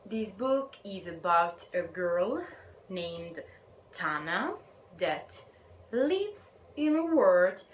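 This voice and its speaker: Young Italian woman, Italian accent, shy book vlogger, very slow and hesitant, echoey room recording. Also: on the low side, big pitch swings. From Italy. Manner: slow, hesitant, shy, drops to a whisper at times. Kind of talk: casual book review vlog. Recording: echoey room with faint ambient noise.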